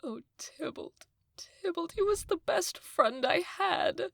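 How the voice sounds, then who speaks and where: A woman's voice: extremely high pitch, animated, slow, gravelly, a bit bright, dry recording, studio quality, heavily acted. A woman playing an overwrought older nurse in an audio drama, lamenting breathily and repeating herself. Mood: mourning. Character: wailing, overwrought older female nurse, bitter servant. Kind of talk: audio drama acting of modernized Shakespeare.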